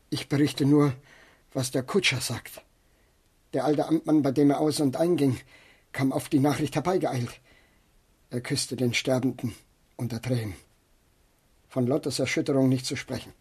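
Husky, distraught old manservant. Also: male voice, mid-range voice, steady tone, a little slow, pauses often, darkish tone. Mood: subdued, deferential. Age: older. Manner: deferential, agitated. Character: distraught old manservant bringing grim news. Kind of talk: German radio drama acting.

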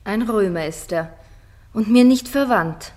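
Woman acting young male page, gentle, humble, earnest, mid-pitched, formal classical radio drama. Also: measured pace, natural voice. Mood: humble, troubled.

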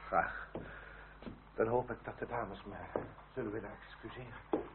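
An actor in a radio drama playing a bitter, haughty French marquis, formal and sardonic. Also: male voice, fairly low pitch, fairly even intonation, unhurried, close to an everyday voice. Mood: weary, courteous. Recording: muffled old radio play with faint hiss.

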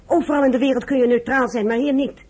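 Blunt young female militant, jealous and fierce, extremely husky, slightly high voice, radio drama. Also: steady tone, fast talker, darkish tone. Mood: hostile, challenging. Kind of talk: Dutch radio play acting.

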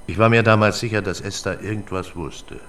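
An actor playing a big, older retired detective who speaks bluntly in a radio drama. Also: male voice, on the low side, fairly even intonation, a little fast, a bit bright, a little hiss or hum, close to an everyday voice. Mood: blunt, probing.

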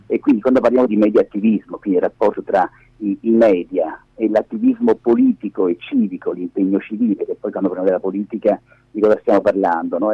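A man's voice: lowish voice, husky. A man in middle age talking casually as a radio interview guest, explaining things emphatically and wandering into digressions over the phone. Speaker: interview guest, media activist and lab director. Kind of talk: casual spontaneous radio conversation. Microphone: phone held against face. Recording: muffled, echoey phone call on radio.

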